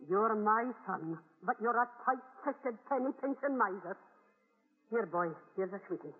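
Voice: medium pitch, animated, a little slow, natural voice. A performer voicing a stern, pious old grandmother who speaks with indignant scolding, in 1940s radio acting style. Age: older. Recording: tinny old radio broadcast with reverb.

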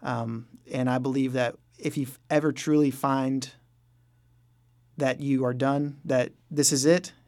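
Male musician guest, medium pitch, informal conversational storyteller in an interview. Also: fairly even intonation, unhurried, a little mellow. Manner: informal, anecdotal, quotes his own reactions. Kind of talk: casual conversational interview answers.

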